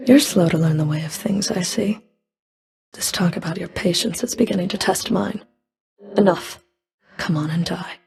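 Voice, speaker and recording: An actress voicing a stern, scornful female underworld enforcer in a video game, with a cold, deliberate, menacing manner. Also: on the low side, gravelly, darkish tone. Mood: cold contempt. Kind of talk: video game character voice lines.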